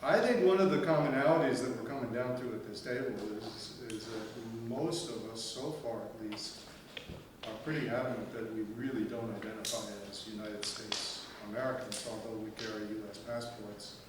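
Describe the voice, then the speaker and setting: A middle-aged man speaking in a roundtable discussion, measured and plainspoken with a dry sense of humor. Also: medium pitch. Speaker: discussion participant. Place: room with a table, group seated. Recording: distant mic in very echoey room, noisy.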